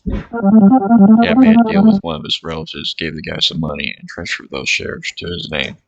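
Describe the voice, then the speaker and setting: A man's voice: low-pitched, vocal fry, a little mellow, dry recording, voice pushed up, heavily acted. A man reading as a driven young inventor. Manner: reads the story text aloud in first person. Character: determined young software inventor, cat owner. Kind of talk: reading visual novel dialogue aloud. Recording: dull lo-fi audio with faint game music.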